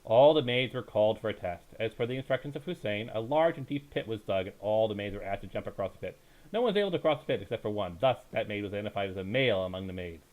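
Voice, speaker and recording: Man reading a bedtime story, very animated pitch. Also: poor audio. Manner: halting, stumbles and corrects himself while reading. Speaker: storyteller, reader.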